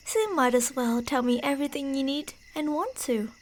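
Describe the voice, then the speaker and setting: High-voiced woman as a teasing, creepy yandere stalker. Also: lively intonation, a little fast, a little hiss or hum. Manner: playful, menacing, taunting, dropping into whispers. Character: creepy, teasing, delusional female yandere stalker narrating her prey's path. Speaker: ASMR roleplay performer. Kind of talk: ASMR horror roleplay, second-person narration.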